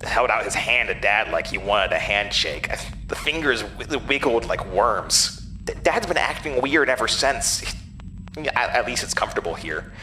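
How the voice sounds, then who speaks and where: A man's voice: lowish voice, sing-song, moderate pace, pushed and bright, a little hiss or hum, poor audio. A man voicing an anxious, stammering child who rambles, in a horror audio drama. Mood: anxious, rambling.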